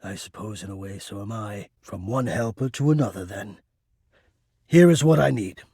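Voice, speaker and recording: A shy, lovelorn male spirit who hides in the vents of a video game house. His voice is mid-pitched with a creaky, husky grain, recorded close and dry. He speaks in florid, theatrical phrases, halting and painfully earnest. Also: mellow, no room sound. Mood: gentle, pleading. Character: anxious, lovelorn, poetic male ductwork spirit hiding in attic. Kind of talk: video game character acting.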